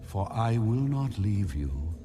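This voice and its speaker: An actor playing God solemnly giving a promise in a dramatized Bible audiobook, stately and commanding. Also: male voice, on the low side, sing-song, slow, over music. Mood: solemn, majestic. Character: solemn male God speaking a promise from heaven.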